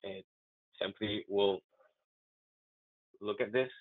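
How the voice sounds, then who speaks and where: A man walking through a live software demo, enthusiastic and chatty, often restarting his sentences. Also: fairly low pitch, fairly even intonation, takes it slowly, no echo. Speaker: software demonstrator. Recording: tinny phone-like, dry audio.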